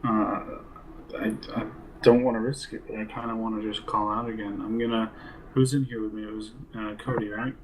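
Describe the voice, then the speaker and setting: A man with a fairly low voice talks casually as a player in a role-play game. He thinks aloud hesitantly, over faint background noise. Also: level tone, a little fast, low-quality recording.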